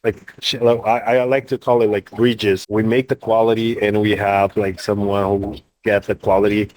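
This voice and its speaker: A man with a lowish voice talks in a flat, even way with little rise or fall. He is plain-spoken and steady. The sound is dry and close. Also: moderate pace, dry recording, low-quality recording. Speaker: coffee buyer for farmers. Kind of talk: casual interview answers.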